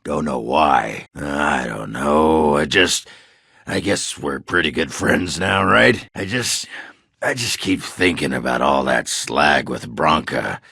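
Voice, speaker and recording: Gruff, crude dwarf berserker for a fantasy game: extremely low, raspy, blunt and hard-drinking. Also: male voice, lively intonation, takes it slowly, sharp tone, no room sound, clean studio sound, heavily acted. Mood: rueful, brooding. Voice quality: gravelly, raspy. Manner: crude, blunt, swearing, grunting. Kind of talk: video game character acting.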